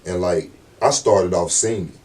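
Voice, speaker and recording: A deep, low-pitched man talks in a loose, easygoing interview, full of slang and constant check-ins with his listener. He sounds set back from the mic. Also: a little slow, a little mellow, far from the mic. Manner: laid-back, slangy, repeats "you know what I'm saying". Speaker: rapper guest. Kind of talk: casual interview talk.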